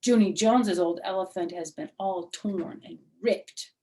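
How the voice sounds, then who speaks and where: A woman's voice: lowish voice, a little slow, warm tone. A snooty little schoolgirl from a children's storybook, voiced by a woman reader. She is boastful and contrary, talking with smug self-importance. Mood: disdainful. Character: boastful, tattling little girl, snooty rival classmate.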